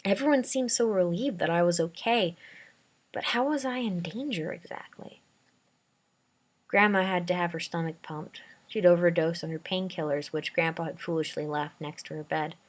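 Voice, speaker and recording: A woman's voice: fairly low pitch, moderate pace. A woman reading a dramatic first-person story aloud as an amateur narrator.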